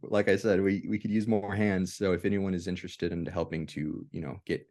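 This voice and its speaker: A man hosting a casual, reflective group discussion, speaking haltingly and often circling back to rephrase himself. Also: low-pitched, flat delivery, a little mellow. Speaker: host of a veterans' discussion group.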